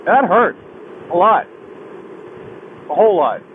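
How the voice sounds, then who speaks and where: A young man riding a motorcycle on a highway, talking through a helmet mic in a halting, heavy-hearted confession that keeps restarting. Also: very animated. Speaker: motovlogger. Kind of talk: personal confession while riding. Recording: tinny helmet mic with wind and road noise.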